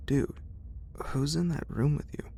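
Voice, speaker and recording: A man's voice: fairly high pitch, a little slow, vocal fry, dark tone, slightly rough, pitched above the performer's own voice, full character voice. A man voicing a frightened, urgent male friend in dialogue from a horror story reading. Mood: alarmed, urgent. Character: frightened male college buddy, uneasy and urgent.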